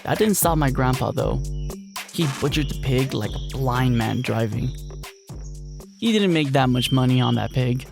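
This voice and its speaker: A man playing a grieving country man who fondly and reflectively remembers his late grandfather, with dramatic pauses, in an audio drama. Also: medium pitch, vocal fry, lightly acted. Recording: loud music behind the voice. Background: music.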